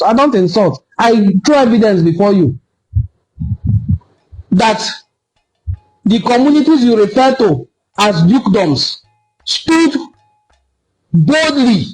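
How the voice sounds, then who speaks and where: Forceful high-voiced Nigerian man lecturing. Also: low-quality recording. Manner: forceful, lecturing, repeats for emphasis. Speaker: online cultural commentator. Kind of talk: impassioned solo commentary video.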